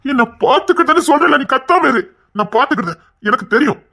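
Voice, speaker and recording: Guy acting as stubborn worried husband in a melodrama, talks really fast, husky, keeps insisting, coaxes then scolds. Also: sits high, animated, exaggerated voice. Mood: firm, hushing. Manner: insistent, repetitive, swinging from hushed coaxing to agitated scolding. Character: stubborn, controlling husband and anxious father-to-be, pleading and scolding. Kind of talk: emotional melodrama dialogue.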